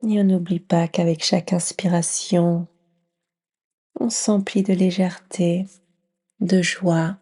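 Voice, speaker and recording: A woman leading a guided yoga nidra meditation in a gentle, soothing near-whisper with long pauses. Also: lowish voice, little pitch movement, slightly rough.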